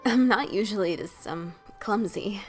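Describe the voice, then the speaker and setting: A woman playing an awkward young adventurer mare, halting and sheepish, in a dramatic reading. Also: mid-range voice, soft background music, natural voice. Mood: sheepish, curious. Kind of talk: fan-fiction dramatic reading.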